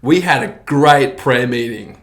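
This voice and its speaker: A man's voice: medium pitch, lively intonation, a little slow, fairly bright tone. A man in his forties with a New Zealand accent, a pastor chatting in an interview, joking about himself and acting out quoted lines. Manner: jokey, self-deprecating, acts out quoted lines. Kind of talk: casual interview chat. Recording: roomy echo.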